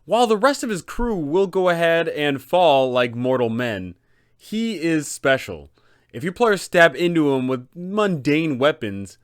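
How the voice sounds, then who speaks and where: A man hosting an explainer for game masters, giving guiding commentary on role-playing. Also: mid-range voice. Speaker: host, tabletop game guide.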